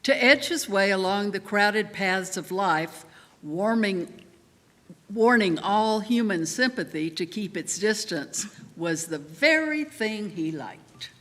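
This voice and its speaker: Slow, measured woman narrator with hall reverb. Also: medium pitch, lively intonation, fairly bright tone. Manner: deliberate, measured delivery. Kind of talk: theatrical scripted narration of a story. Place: large church interior.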